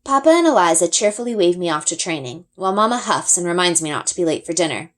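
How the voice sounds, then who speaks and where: A woman reading a children's story aloud as an expressive first-person narrator. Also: on the low side, quick pace. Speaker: reader.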